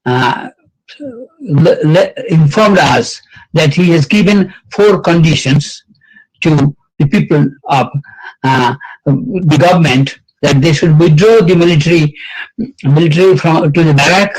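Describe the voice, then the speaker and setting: An older man giving a reminiscing speech at a commemorative event, slow and halting with many fillers and restarts. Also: fairly high pitch, darkish tone, slightly rough, poor audio. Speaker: event speaker.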